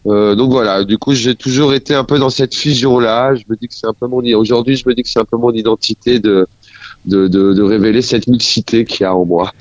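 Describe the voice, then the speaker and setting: A man in his forties from France, a musician speaking as a guest in a casual radio interview, halting and searching for his words. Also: medium pitch, steady tone, no room sound, lo-fi sound.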